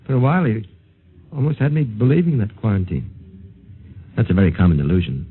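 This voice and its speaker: A calm, skeptical military doctor from a 1950s radio play. His low, gravelly voice is matter-of-fact yet varies freely in pitch. The old recording is a little muffled. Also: brisk. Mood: musing. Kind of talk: 1950s radio drama acting. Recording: muffled, slightly noisy vintage radio recording.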